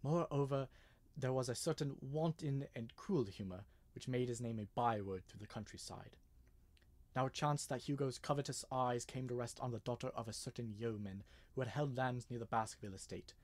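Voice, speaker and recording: A man playing an earnest, nervous gentleman with a formal manner in an old-style radio mystery. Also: medium pitch, normal speed, close to an everyday voice. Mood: solemn reading. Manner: hesitant, formal. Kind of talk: 1930s radio mystery acting.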